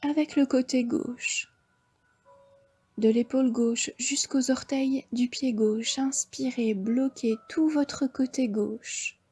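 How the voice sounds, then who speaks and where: A woman with a French accent guiding a yoga nidra relaxation, giving slow, soft, half-whispered breathing cues. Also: high voice, steady tone, husky. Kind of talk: guided relaxation instruction.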